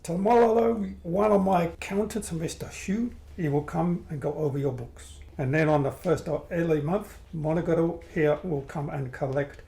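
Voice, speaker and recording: A man playing a smug, mock-polite male gangster boss who speaks slow broken English in an amateur radio play. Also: on the high side, vocal fry, dark tone. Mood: oily menace. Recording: roomy echo.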